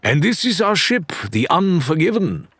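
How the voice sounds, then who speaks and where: Brief: suave male pirate, husky, theatrical, mock-courteous, with swooping pitch. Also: big pitch swings, normal speed, fairly bright tone, dry recording, heavily acted. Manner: flamboyant, mock-polite, lilting.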